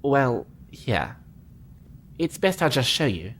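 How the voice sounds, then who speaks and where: Male actor, earnest officer, lively pitch, faint hiss. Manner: earnest, polite, measured. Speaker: starship lieutenant. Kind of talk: fan audio drama acting.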